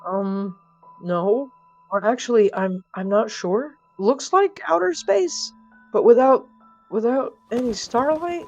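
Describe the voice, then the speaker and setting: A hapless young male mail carrier in an audio story, played by a woman. He is awkward and obedient, answering in halting, clipped phrases. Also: fairly high pitch, a little mellow, soft background music. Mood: bewildered.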